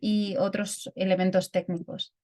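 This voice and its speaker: Woman, simultaneous interpreter, video call, mid-range pitch, fluent with restarts. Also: level tone, unhurried, darkish tone. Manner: fluent with frequent restarts and repeated words.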